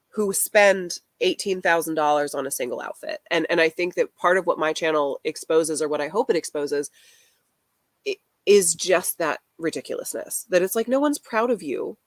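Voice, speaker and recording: A woman talking in a casual live chat about fashion, deadpan and wry. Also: lowish voice, normal speed, dry recording. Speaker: fashion commentary creator.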